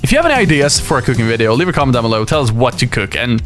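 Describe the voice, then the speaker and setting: A man answering viewer questions in a casual chat, lively and often laughing, with many fillers. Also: medium pitch, rapid-fire, music in the background. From Sweden. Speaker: YouTuber and musician.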